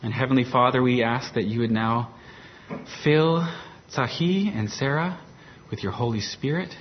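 Calm pastor praying. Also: male voice, medium pitch. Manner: calm, measured prayer. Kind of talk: introduction and prayer. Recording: dull sound with faint room noise.

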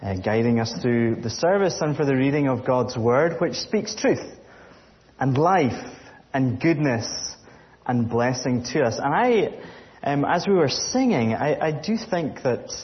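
A man with a Scottish accent giving a sermon, speaking earnestly with frequent pauses and fillers. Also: medium pitch, expressive, muffled recording. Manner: halting with fillers, earnest and grateful. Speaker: guest speaker.

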